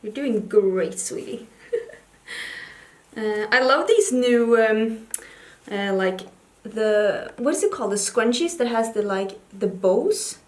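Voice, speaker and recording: Young woman, Swedish accent, high-ish voice, chatty and giggly, home vanity, echoey room. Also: animated, slow pace, long pauses. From Sweden. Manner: chatty, giggly, self-teasing asides. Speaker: beauty vlogger. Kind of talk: casual get-ready-with-me chat. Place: home makeup station, indoors.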